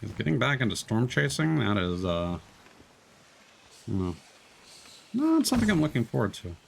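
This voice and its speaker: A man's voice: medium pitch, wildly swooping pitch, moderate pace, gravelly, slight background noise, poor audio. A man casually talking through his game as he plays, rambling and correcting himself mid-sentence. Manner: rambling, self-interrupting, mild swearing. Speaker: streamer. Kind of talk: casual gameplay commentary. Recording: game music behind.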